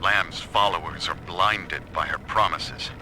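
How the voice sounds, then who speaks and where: An actor playing an anxious amateur investigator, recording grave, troubled notes in a game audio diary. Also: male voice, sits low, unhurried. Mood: troubled, determined. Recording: faintly noisy audio log.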